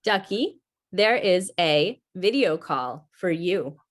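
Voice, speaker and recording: An actress playing a placid, literal-minded helpful computer with a measured, impassive manner, in old-time radio style. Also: female voice, lowish voice, expressive.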